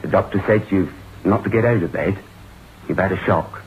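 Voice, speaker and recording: An actor playing a matter-of-fact police sergeant in an old-time radio drama, speaking in a measured, soothing way. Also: male voice, sits low, lightly acted. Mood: calm, sober. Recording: tinny old radio recording, faint noise.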